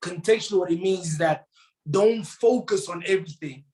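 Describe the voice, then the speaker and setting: A man's voice: high voice, normal speed, darkish tone. A man from South Africa, a Tswana speaker, telling a motivational story earnestly as an interview guest. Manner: earnest, repeats words, rises to emphatic bursts.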